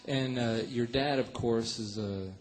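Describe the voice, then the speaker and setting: A man's voice: mid-range voice, steady tone, measured pace. Male radio host with a chatty interview style. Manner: conversational, many fillers and restarts. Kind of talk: interviewing a musician. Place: radio show studio.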